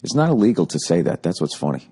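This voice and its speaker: Profane ranting man, a bit hoarse. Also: low-pitched, big pitch swings, quick pace, warm tone. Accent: Boston. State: voice getting hoarse. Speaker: podcast host. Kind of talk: rambling comic monologue.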